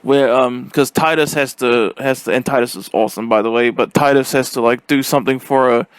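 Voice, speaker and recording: A man giving a casual, rambling spoken review, halting and slangy, with a silly, giggly manner. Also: medium pitch, fairly even intonation, smooth, no room sound. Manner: silly, giggly, easily cracks up. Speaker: vlogger giving film and game reviews.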